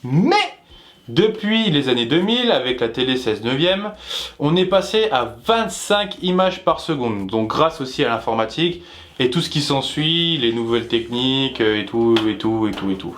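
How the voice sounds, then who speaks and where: A man's voice: medium pitch, lively intonation, normal speed, a bit bright. A man co-hosting a web show, explaining things in an informal, jokey way and often interrupting himself. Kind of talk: casual explainer chat with co-host.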